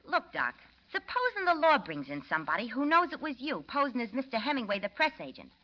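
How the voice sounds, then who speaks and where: An actress playing a brassy American gun moll with slangy gangland talk, in a 1940s radio crime drama. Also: female voice, on the high side, very animated, fairly quick, pushed and bright, highs cut off. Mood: uneasy. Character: brassy young female gun moll, anxious yet gleeful. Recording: old radio recording with music.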